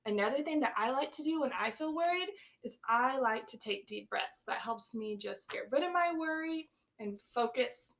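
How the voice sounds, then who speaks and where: A woman's voice: a little high, animated, normal speed, few pauses, a bit bright, a little hiss or hum. A woman teacher reading a picture book aloud to young children, stopping to ask them questions and explain words.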